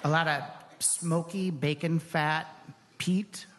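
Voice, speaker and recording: A man's voice: a little high, steady tone, takes it slowly, a bit bright. A man speaking as an expert guest in a live interview, describing things on stage. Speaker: guest, professional cheesemonger. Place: stage before a live audience.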